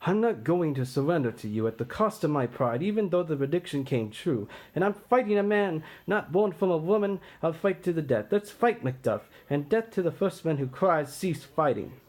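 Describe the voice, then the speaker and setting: A doomed tyrant king, acted out by a man, bitter and defiant. He declaims with brooding, combative force in a highish voice that swings widely in pitch, as in a stage tragedy. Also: lively intonation, moderate pace, few pauses, darkish tone, natural voice.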